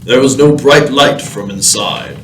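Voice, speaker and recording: A man's voice: medium pitch, measured pace. A man narrating a scripted comic radio play, recorded at some distance in a roomy, noisy space. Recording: roomy, distant mic, background noise.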